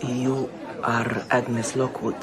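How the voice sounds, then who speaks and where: A woman playing an intense, imperious and guilt-haunted countess in a radio drama, speaking in a halting, theatrical way. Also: rumbling low, flat delivery, crowd noise. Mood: wary, intense.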